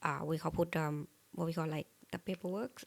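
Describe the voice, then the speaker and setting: A woman's voice: low-pitched, fairly even intonation, fairly quick, gravelly. A woman with a Thai accent, a native Thai speaker, co-hosting a vlog and chatting in short, simple phrases. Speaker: vlog co-host. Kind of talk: casual chat.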